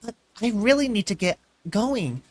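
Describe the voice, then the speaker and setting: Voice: mid-range voice, big pitch swings, a little mellow, voice pushed up. A reader voicing a sensible young female unicorn who speaks politely but firmly. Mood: stern. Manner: polite, then stern and hurried.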